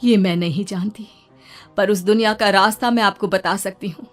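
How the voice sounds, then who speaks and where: A woman playing the earnest ghost of a village wife and mother, explaining things in an animated folk tale. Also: mid-range voice, normal speed, soft background music, lightly acted. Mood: earnest warning.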